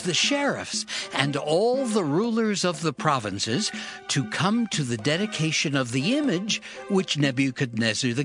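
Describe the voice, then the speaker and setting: A man narrating dramatized scripture in a solemn, measured and emphatic style. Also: fairly high pitch.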